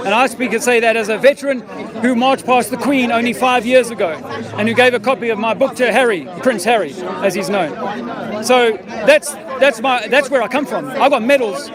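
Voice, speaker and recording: A man in his fifties, an army veteran filming himself outdoors, talking in a profane, rambling, insistent way. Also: very high-pitched. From South Africa. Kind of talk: street-corner conspiracy monologue. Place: outdoor public speaking park. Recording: outdoor crowd noise behind.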